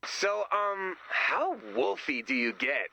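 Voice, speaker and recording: A man's voice: high voice, sing-song, slow pace, creaky, bright, forceful tone, full character voice, rough recording. An actor playing an eager, smug, nerdy young male sidekick in a comic video game style. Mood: chipper, curious. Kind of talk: animated video game voice acting.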